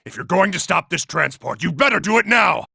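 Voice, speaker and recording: A giant war robot from a video game, a male military tactician used to command. The voice is heavily gravelly and rasping, with a hard, cutting edge. He is impatient and forceful, and his pitch moves widely. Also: sing-song, a little fast, no room sound, clean studio sound, heavily acted. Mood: commanding, tense. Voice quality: gravelly, raspy. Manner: forceful, barking orders. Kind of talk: video game character acting.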